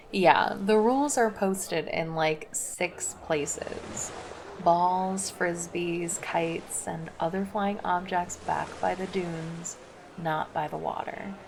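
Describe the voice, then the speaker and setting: A woman's voice: on the low side, fairly even intonation, slow pace, noisy background. A woman voicing a laid-back, flirty female lifeguard in an ASMR roleplay, chatting casually and teasing. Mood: easygoing.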